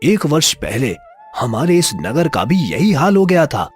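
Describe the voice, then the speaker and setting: A man voicing a stately, benevolent king in a cartoon moral story, measured, dignified and reassuring, with a husky voice. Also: fairly high pitch, big pitch swings, soft background music. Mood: calm, gracious.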